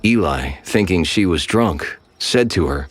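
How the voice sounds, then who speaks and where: A man giving measured, solemn scripted scripture narration over strong music. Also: low voice, lively intonation.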